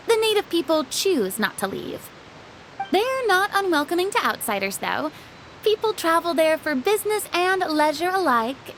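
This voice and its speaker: A woman playing a genteel, reassuring young lady with expressive, measured phrasing in an anime game. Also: high-pitched, slight background noise, low-quality recording.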